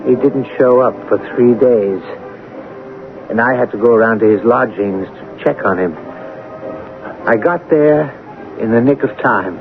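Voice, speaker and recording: Casting: male actor as rueful office clerk narrator, lowish voice, reflective, music underneath, old radio drama. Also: lightly acted. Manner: reflective, resigned. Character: world-weary male office clerk recounting with regret. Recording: tinny old radio broadcast. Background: clear music.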